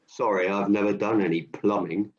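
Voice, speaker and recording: A man's voice: fairly low pitch, steady tone, moderate pace, a little mellow, close to an everyday voice. A man playing Hercules, a keen, overconfident hero looking for work in a comic radio play. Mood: earnest. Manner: cheerfully overconfident, matter-of-fact. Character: Hercules, strong, keen, job-hunting hero. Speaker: job seeker. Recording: dull lo-fi audio.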